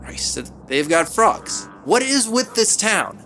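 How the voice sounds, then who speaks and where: A young man playing a wisecracking, excitable slacker musician in an audio drama, casual and sardonic. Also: high-pitched, takes it slowly, soft background music, full character voice. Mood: sardonic, excited. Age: young adult.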